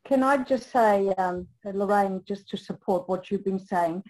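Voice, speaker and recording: A woman's voice: medium pitch, unhurried, darkish tone, low-quality recording. A Greek-born woman whose first language is Greek, an aged care professional and carer, speaking reflectively with many restarts over a remote call. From Greece. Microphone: remote call without camera.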